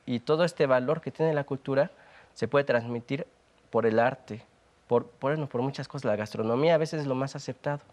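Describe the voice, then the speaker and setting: A man's voice: mid-range voice, a little fast, darkish tone. Earnest Mexican man, thoughtful guest. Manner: earnest, reflective, with many fillers and restarts. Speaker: interview guest, sculptor. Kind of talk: conversational TV interview.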